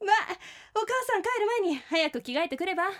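A woman's voice: very high voice, lively intonation, brisk. A woman playing a chatty, bossy, teasing young sister of a schoolboy in an audio drama.

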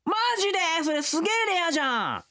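A woman as a fast, high-voiced slacker sidekick. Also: wildly swooping pitch, sharp tone, full character voice. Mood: excited. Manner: slangy, boyish, flippant. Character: slangy, lazy young animal sidekick, netty slacker. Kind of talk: comic radio drama acting.

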